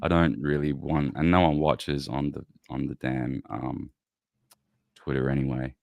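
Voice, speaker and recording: A man hosting a casual conversation, relaxed and agreeable in his replies. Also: very deep voice, a little slow, vocal fry, dry recording, muffled recording. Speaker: podcast host.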